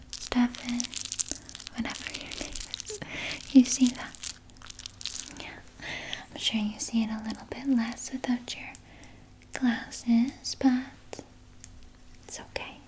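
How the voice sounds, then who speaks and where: A woman playing a sinister, soothing vampire nurse in an ASMR roleplay, speaking in a soft, breathy whisper with coaxing, falsely reassuring words. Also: on the high side, level tone, rough recording. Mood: sweetly coaxing. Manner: slow, coaxing, falsely reassuring, close personal address. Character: sinister, soothing female vampire nurse tending her captive patient.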